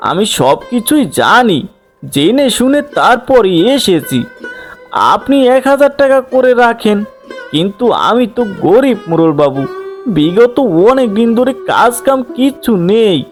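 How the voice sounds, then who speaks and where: A man's voice: very high-pitched, full character voice. A man playing a poor, anxious, pleading village father in a folk tale cartoon, with music behind. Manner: pleading, plaintive. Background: background music.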